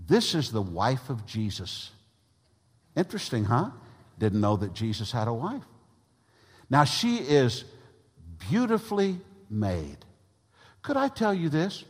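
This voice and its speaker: A man preaching a sermon to his congregation, with a big voice that swings between loud and soft emphasis. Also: fairly low pitch. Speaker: pastor. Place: church sanctuary. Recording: long reverb of a large church hall.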